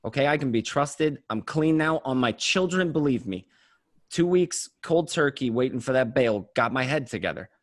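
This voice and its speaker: A man's voice: mid-range voice, lively intonation, moderate pace, no echo, studio quality, lightly acted. A man playing a desperate, paranoid small-time gangster, stammering and swearing, in an amateur script read. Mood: pleading. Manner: stammering, repeating, profane.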